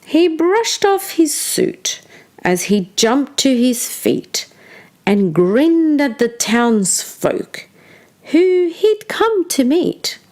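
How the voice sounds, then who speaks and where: Slow, animated woman teacher at storytime. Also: high-pitched. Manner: encouraging, addresses children directly with pauses for answers. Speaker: teacher reading a storybook to children. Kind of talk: children's storytime lesson, expressive read-aloud.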